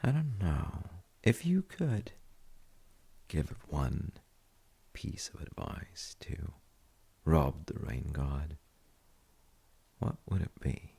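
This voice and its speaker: Guy playing a comforting dad in audio roleplay, super crackly husky voice, mellow, kinda hesitant and musing. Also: wildly swooping pitch, extremely slow, pauses often, exaggerated voice. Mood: reflective, gentle. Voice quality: very deep, gravelly, husky. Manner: hesitant, musing, soft-spoken. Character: gentle, thoughtful dad comforting his child. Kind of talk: intimate audio roleplay, slow bedtime chat.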